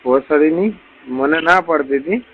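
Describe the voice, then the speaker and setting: A man's voice: a little high, a little slow, husky. A man with a Saurashtra Gujarati accent talking casually and bluntly on a recorded phone call, swearing constantly. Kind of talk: casual private phone chat.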